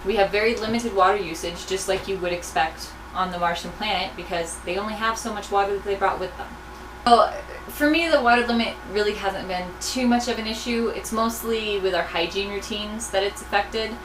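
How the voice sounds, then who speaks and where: Woman, medium pitch, casual explanatory delivery, roomy echo, faint background noise. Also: a little fast. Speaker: research crew member answering students' questions.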